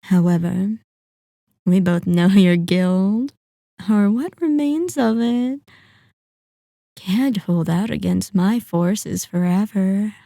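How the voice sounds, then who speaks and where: A possessive female vampire lord, acted by a woman, speaks in a soft, mellow tone. She draws out her words slowly with mock courtesy and teasing menace. The ASMR roleplay sounds very close and dry. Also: mid-range voice, fairly even intonation, creaky, no room sound. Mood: silky menace. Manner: silky, teasing, mock-polite, drawn-out menacing delivery. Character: sadistic, possessive female vampire lord toying with captive hunter.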